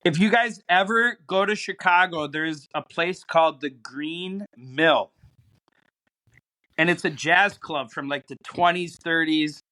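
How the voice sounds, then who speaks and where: Bright, tense-voiced joking man. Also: fairly high pitch, a little slow, poor audio. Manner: joking, crude wisecracks. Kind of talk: casual chat.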